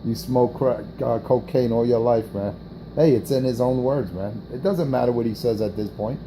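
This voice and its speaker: A man's voice: mid-range voice, normal speed, background noise. A man trash-talking on a CB radio morning show, brash and profane, taunting another operator and repeating his jabs.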